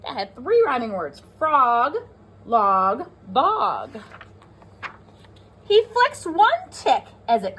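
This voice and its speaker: A woman teacher reading aloud to pre-kindergarten children, expressive and sing-song, stressing rhyming words and pausing to talk to her listeners. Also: fairly high pitch. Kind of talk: animated children's read-aloud with asides.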